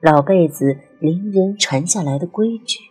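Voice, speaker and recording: A woman a breathy voice and reads literary narration in a measured, expressive, dramatic way, as an audiobook narrator. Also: fairly low pitch, rough recording. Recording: music under the voice. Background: background music.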